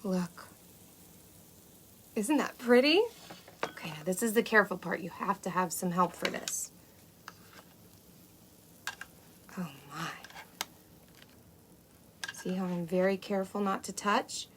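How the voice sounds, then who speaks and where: A woman playing an excitable, gentle unicorn who presents a children's cooking show, talking to young kids with wonder and breathy asides. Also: mid-range voice, measured pace, pauses often, close to an everyday voice. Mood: delighted, eager, then careful, excited. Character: excitable, gentle, impatient female unicorn hosting kids' show. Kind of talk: children's cooking demonstration to camera. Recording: clear steady background noise.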